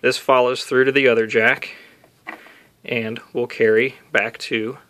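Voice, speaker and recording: A man's voice: medium pitch, flat delivery, a little slow, a bit bright. A man talking casually through a hands-on tutorial, unscripted and lightly self-deprecating. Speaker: DIY video maker demonstrating an amp modification.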